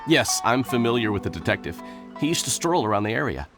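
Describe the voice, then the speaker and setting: An actor voicing a polite, dutiful guard in charge of the watch in a video game, formal and helpful. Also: male voice, medium pitch, sing-song, fast. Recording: plucked-string music behind the voice.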